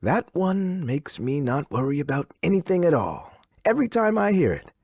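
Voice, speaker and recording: A theme park radio DJ from a game, cheerful to an unsettling degree. His pitch swings wildly through reassuring, theatrical announcer patter, recorded very dry and close. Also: big pitch swings, moderate pace, vocal fry, darkish tone, slightly rough, no room sound, heavily acted. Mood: upbeat, reassuring.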